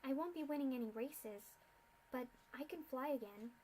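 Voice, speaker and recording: A woman's voice: sits high, normal speed, a little mellow, slight background noise, natural voice, low-quality recording. A woman voicing a young, injured male pegasus foal who talks matter-of-factly in a storybook reading. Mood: resigned.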